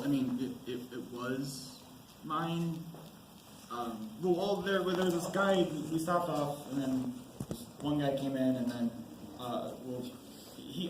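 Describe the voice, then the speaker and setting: A teenage boy acting an anxious, halting young soldier in an amateur stage play. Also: mid-range voice, close to an everyday voice. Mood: awkward, nervous. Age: teens. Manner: halting, stammering, nervous. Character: anxious young army sergeant trapped on a mine. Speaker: high school student actor. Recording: roomy, noisy school stage recording.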